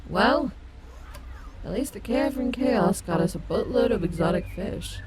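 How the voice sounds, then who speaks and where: A woman's voice: mid-range voice, animated, a little slow. A woman playing a brash, protective animatronic wolf companion in an audio roleplay, by turns teasing and affectionate. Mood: caring, reassuring. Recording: heavy outdoor ambience noise behind voice.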